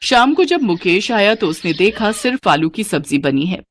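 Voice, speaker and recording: A woman's voice: medium pitch, sing-song, normal speed, no room sound. A woman narrating a scripted children's story as a storyteller.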